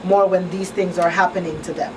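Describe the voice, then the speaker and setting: A woman's voice: lowish voice, fairly quick. A woman hosting a radio talk show, explaining things in a conversational way like a counselor. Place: small radio studio. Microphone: studio broadcast mic on boom arm. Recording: distant pickup, steady room noise.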